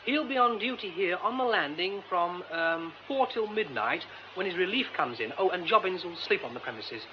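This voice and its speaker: Casting: courteous, excitable English theatrical gentleman, lively pitch, muffled recording with clear background noise. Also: normal speed. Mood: eager. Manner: polite, well-spoken, eager. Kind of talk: radio drama acting.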